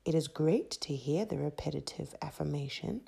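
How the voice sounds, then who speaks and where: A woman from Zimbabwe hosting a solo motivational podcast. She talks in an emphatic, repetitive, preachy way with a breathy voice. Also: sits low, moderate pace, mellow. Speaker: podcast host.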